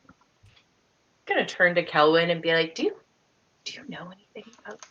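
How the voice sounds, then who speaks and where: A woman with a slightly low voice plays a tabletop game over a video call. She speaks casually and haltingly, slipping into her character's words. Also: lively intonation, a bit bright, dull audio. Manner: hesitant, halting. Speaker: tabletop role-playing player. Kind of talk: casual in-character role-play over online chat. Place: indoor room on a group video call.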